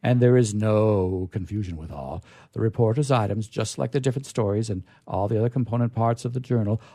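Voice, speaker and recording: A man hosting a radio show, reading stories aloud in a theatrical, deliberate, emphatic way with casual asides. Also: mid-range voice, warm tone, no room sound, studio quality.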